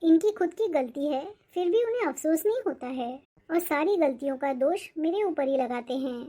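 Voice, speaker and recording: A woman's voice: sits high, lively intonation, moderate pace, dark tone. A woman voicing a put-upon young mother and daughter-in-law in a cartoon family drama, polite but aggrieved. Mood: resentful.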